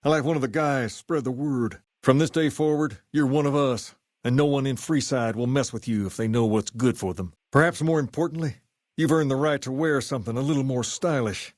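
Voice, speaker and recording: A man's voice: medium pitch, fairly quick, a little mellow, no room sound, close to an everyday voice. Man acting a folksy Southern gang boss in a dry booth. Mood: relaxed, obliging. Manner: folksy, easygoing, self-assured. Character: suave male gang boss styled on a rock-and-roll king. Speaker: leader of a post-apocalyptic gang. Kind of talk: video game character dialogue.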